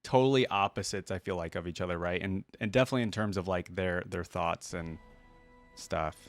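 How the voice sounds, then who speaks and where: A man's voice: low-pitched, brisk, faint music behind. A man hosting a reaction video, chatting informally to his viewers in casual commentary.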